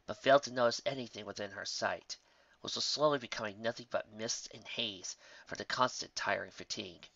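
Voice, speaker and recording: A man's voice: on the low side, vocal fry, bright, forceful tone, no room sound, muffled recording. A man reading a scripted story aloud as its narrator. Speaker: narrator reading fan fiction.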